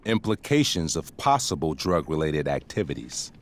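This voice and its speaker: A man's voice: very deep voice, big pitch swings, moderate pace, exaggerated voice. A man playing a stern, middle-aged school principal in a video game, speaking in a formal, verbose and officious way. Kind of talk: dramatic video game acting.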